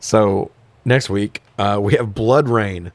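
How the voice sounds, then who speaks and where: A man's voice: on the low side, takes it slowly, no echo. A man co-hosting a podcast, chatting casually about films in a joking, informal, sweary way. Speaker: podcast co-host. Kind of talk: casual movie-review chat.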